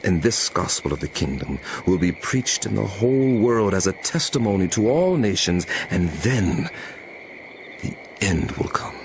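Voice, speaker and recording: An actor playing a solemn teacher warning his followers, speaking with grave, emphatic and deliberate phrasing in a dramatic scripture reading. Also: sits low, very animated, slight background noise, heavily acted. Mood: solemn, foreboding. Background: music.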